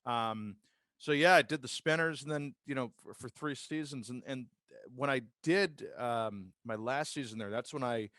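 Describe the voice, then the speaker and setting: A man's voice: mid-range voice, normal speed, fairly bright tone, dry recording. Male podcast guest, American accent, mid-range voice, conversational storyteller, very dry sound. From Massachusetts, United States. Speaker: podcast guest, sports public address announcer and radio host. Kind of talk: casual conversational interview, career storytelling.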